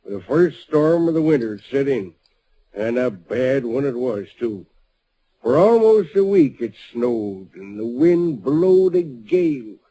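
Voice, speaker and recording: A plainspoken western prospector who narrates in homespun frontier speech. His mid-range voice sounds warm and dark, over a faint hiss. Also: mid-range voice, big pitch swings, slow pace, low-quality recording. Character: homespun old-west gold miner telling the tale. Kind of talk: 1940s radio acting.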